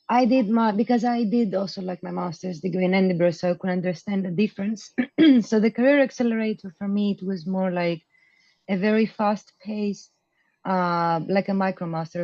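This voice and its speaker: A woman's voice: mid-range voice, warm tone, no room sound, dull audio. Young woman, hesitant interview answers. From Greece. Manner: loose and hesitant, many fillers and restarts. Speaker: program graduate guest.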